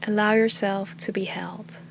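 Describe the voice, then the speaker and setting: A woman teaching meditation with a calm, soothing, unhurried delivery that often trails into breathy softness. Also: mid-range voice, a little hiss or hum. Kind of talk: guided meditation, soft slow instruction.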